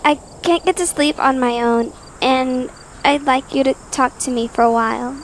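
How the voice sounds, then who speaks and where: A sweet teenage girl played by a woman in a fan audio drama, high-pitched and wistful. She sounds sleepy and fond, in a home recording with noticeable background noise. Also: steady tone, normal speed. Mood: wistful, tired.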